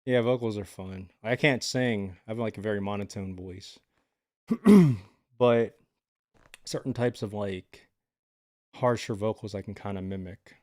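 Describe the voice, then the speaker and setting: A man's voice: lowish voice, measured pace, pauses often, a little mellow, no echo, studio quality. A man casually chatting with his live viewers from home, laid-back and rambling with lots of filler words. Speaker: livestreamer.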